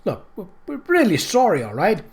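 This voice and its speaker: A man's voice: high-pitched, huge pitch swings, moderate pace, husky, voice pushed up, exaggerated voice. A man voicing an elderly lodge master who talks in a raised, hesitant, apologetic way.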